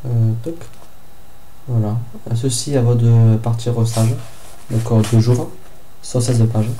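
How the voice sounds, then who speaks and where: A man's voice: mid-range voice, mellow, a little hiss or hum. A young man from France with a head cold, chatting hesitantly through a casual book review vlog with many fillers and restarts. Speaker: book vlogger.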